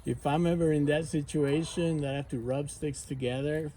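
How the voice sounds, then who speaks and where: A man in his forties from the United States, chatting casually outdoors by a fire pit in a soft, rambling way with many fillers. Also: on the high side, steady tone, normal speed, a little hiss or hum. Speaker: outdoor vlogger. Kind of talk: casual rambling chat to camera.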